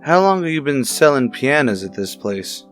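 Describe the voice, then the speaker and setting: A man's voice: mid-range voice, big pitch swings, normal speed, soft background music, lightly acted. A man playing a businesslike police detective who questions in a clipped, matter-of-fact way in a radio drama. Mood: probing. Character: businesslike male police detective partner, probing. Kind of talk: old-time radio detective drama acting.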